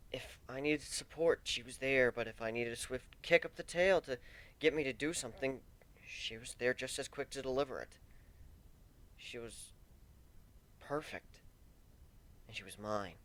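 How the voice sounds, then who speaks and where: A man voicing a timid, self-loathing, grieving pegasus pony for a fan fiction reading, with halting, stammering delivery. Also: mid-range voice, a bit bright, lightly acted.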